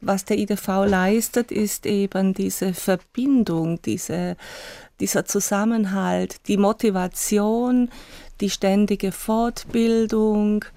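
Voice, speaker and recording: A woman, chair of a teachers' association, giving measured interview answers in long flowing sentences. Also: mid-range voice, steady tone, warm tone, no echo.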